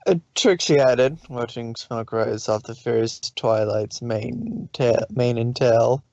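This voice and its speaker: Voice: medium pitch, slow pace, gravelly, dark tone, no echo. A narrator reading fan fiction aloud informally, occasionally stumbling over words, on a slightly lo-fi recording. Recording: slightly dull, lo-fi sound.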